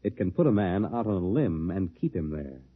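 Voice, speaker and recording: Husky male vintage radio announcer, tinny sound. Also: low voice, very animated, fairly quick, dry recording. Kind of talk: 1950s radio announcing, scripted. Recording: thin, dry vintage radio recording.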